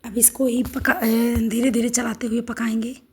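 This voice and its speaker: Hoarse-throated woman cooking at home, talks you through each step, voice slightly high. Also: flat delivery, normal speed. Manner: homely, step-by-step instructions. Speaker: cooking channel host.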